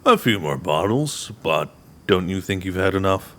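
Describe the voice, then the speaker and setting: A man's voice: deep voice, very animated. A man playing a laid-back, genial crew member in an audio drama, speaking in an easygoing way. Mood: genial, calm. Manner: unhurried, easygoing. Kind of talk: audio drama dialogue and in-character promo.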